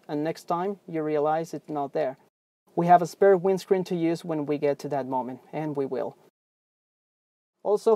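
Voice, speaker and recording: A man's voice: sits high, mellow, no echo. Young male pilot-host, upbeat scripted product review, recorded in a cockpit. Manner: upbeat, scripted presenter delivery. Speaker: pilot and aviation channel host. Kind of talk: product review presented to camera. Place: aircraft cockpit on the ground.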